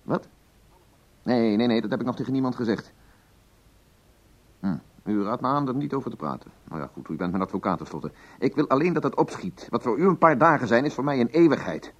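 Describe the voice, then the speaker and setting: An actor playing an impatient, bitter husband, clipped and insistent, in a dull-sounding radio play. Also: male voice, medium pitch, sing-song, brisk. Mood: bitter impatience. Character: impatient, bitter husband pressing his lawyer for divorce. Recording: dull, lo-fi radio drama recording.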